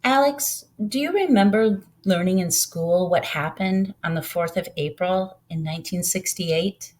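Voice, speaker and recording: A woman voicing a proud, gentle older woman who tells her story in an earnest, unhurried way, as in a storybook. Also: lowish voice, natural voice. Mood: soft, sad.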